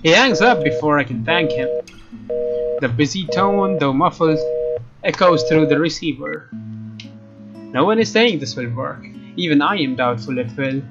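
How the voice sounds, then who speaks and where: A man's voice: fairly high pitch, lively intonation, unhurried, muffled recording. A man doing a let's play, reading a visual novel aloud. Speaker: let's player. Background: guitar game music.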